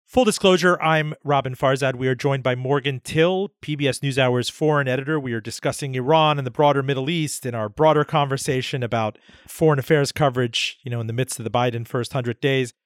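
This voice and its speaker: A man hosting a radio interview show, reading station plugs and asking lively, probing questions. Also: mid-range voice, a little fast, dry recording, studio quality. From Iranian heritage.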